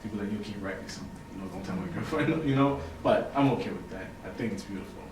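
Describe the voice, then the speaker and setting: Young haitian-born guy chatting about poetry, relaxed, fillers everywhere, noisy roomy sound. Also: lowish voice, fast. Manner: relaxed, chatty, many fillers and "you know". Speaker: poet and writer, guest. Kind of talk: casual interview chat. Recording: echoey room, mic far off, noisy.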